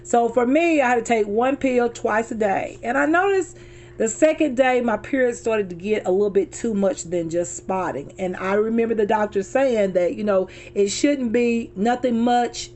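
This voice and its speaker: Hoarse woman vlogger, middle-aged, slightly high voice, chatty, keeps circling back to her point, talking from a car. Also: moderate pace, a little mellow. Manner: chatty, addresses viewers as y'all, repeats herself. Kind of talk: casual chat to viewers.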